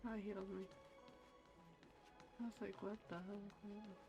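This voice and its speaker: A woman's voice: mid-range voice, level tone, unhurried. A woman streaming a game, making casual, offhand, muttered comments as she plays. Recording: game music behind the voice.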